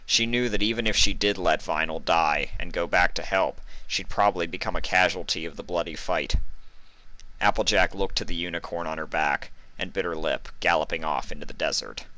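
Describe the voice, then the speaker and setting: A man's voice: low voice, fairly quick, bright, forceful tone, poor audio. A man reading scripted fan fiction aloud as the narrator.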